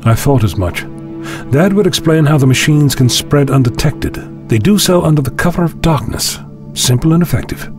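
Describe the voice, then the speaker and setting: A man acting a learned, calmly authoritative time-travelling scientist in a science fiction audio drama, speaking in measured, formal phrases. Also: fairly high pitch, over music, close to an everyday voice. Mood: grave. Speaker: lead actor in a fan audio drama.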